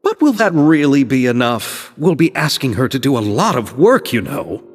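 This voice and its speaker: An actor playing a polite, earnest and anxious film director in a video game, speaking formally. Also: male voice, mid-range voice, very animated, normal speed. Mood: hesitant, anxious. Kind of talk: English video game voice acting. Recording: echoing reverb, faint music behind.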